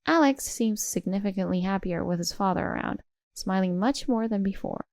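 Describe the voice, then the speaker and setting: A woman narrates a scripted first-person story. Her mid-pitched voice has a creaky edge. The recording is very dry, with no audible room. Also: moderate pace, a little mellow, no room sound.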